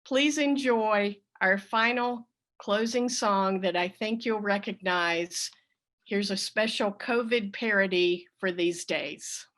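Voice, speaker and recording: A woman's voice: medium pitch, slow, a bit bright. A woman leading a church service, giving informal spoken announcements to her congregation.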